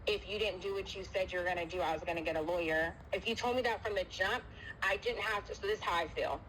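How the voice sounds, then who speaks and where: A woman's voice: medium pitch, fairly bright tone. Emphatic woman arguing on a noisy call. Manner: emphatic, repetitive, talks over the other speaker. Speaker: family member on a personal phone call. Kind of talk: heated personal argument. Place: phone call with noise behind. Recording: noisy phone call recording.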